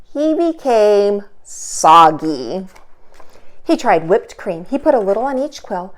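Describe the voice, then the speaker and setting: A woman teacher hosting a children's storytime, reading aloud expressively with dramatic pauses and emphasis. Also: mid-range voice, sing-song. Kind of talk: animated children's read-aloud.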